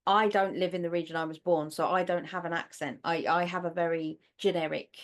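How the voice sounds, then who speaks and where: An Englishwoman co-hosting a podcast, opinionated and chatty, talking in quick bursts in casual conversation. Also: fairly low pitch, steady tone. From England. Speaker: podcast co-host. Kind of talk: casual chat.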